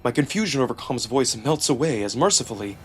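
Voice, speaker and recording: A man reading a visual novel in the first person as a theatrical, expressive narrator. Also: medium pitch, quick pace, noisy background. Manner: theatrical, expressive, acts out emotion. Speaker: narrator and performer. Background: music.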